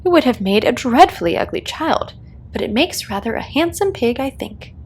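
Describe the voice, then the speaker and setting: Curious storybook girl read by a woman: polite, primly sensible, earnest questions, highly varied pitch. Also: expressive, moderate pace, a little mellow, slight background noise, natural voice. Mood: musing.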